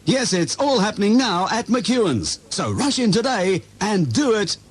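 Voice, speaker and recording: A man's voice: high-pitched, sing-song, unhurried, breathy edge. A man with an Australian accent reading a hard-sell retail radio advertisement.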